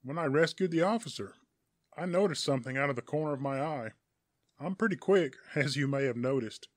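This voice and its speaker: A man voicing a cocky, quick-witted male superhero in an audiobook, smug and assured. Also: a little high, no room sound, lightly acted.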